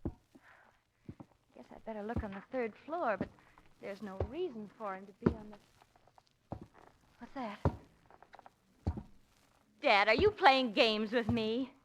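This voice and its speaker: A woman's voice: a little high, slow, long pauses. A young woman playing an anxious daughter in peril, in 1940s radio acting style. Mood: uneasy searching. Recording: noisy old 1940s radio recording.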